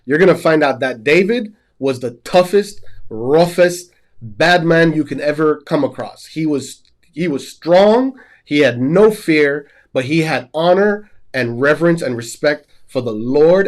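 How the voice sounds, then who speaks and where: A man's voice: fairly high pitch, normal speed. A man hosting a Bible study show, sounding nasal and stuffy from a cold, and earnestly retelling scripture background as a story. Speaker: host.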